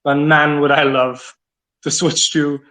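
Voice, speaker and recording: A man's voice: fairly high pitch, level tone, slow pace, sharp tone. A man from Serbia hosting a casual online audio chat in a conversational, opinionated way. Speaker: host.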